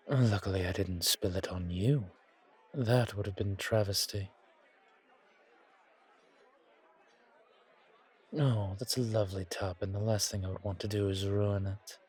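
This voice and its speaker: Suave male vampire, voiced by a man for roleplay audio: low, raspy, genteel and flirtatious, with bar chatter behind. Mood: charming, flirtatious. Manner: genteel, poetic, unhurried, flirtatious. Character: suave, courtly, old-world male vampire charming a stranger. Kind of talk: roleplay audio, ASMR horror.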